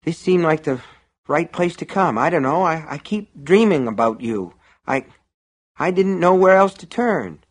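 A man voicing a troubled, gullible small-town man in an audiobook, agitated and halting, with an unhinged edge. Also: mid-range voice, expressive, voice pushed up, close to an everyday voice. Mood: desperate, frightened.

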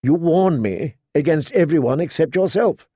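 A man reading an audiobook, voicing a cold, commanding young queen in measured tones close to his own voice. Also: on the high side, expressive, warm tone, no echo.

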